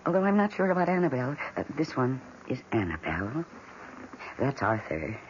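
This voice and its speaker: A woman's voice: a bass voice, big pitch swings, a little fast. An actress playing a lonely, fragile housewife who is devoted to her houseplants, timid, pleading and wistful, in an old-time radio drama. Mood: shy, fond. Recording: muffled, hissy vintage radio recording.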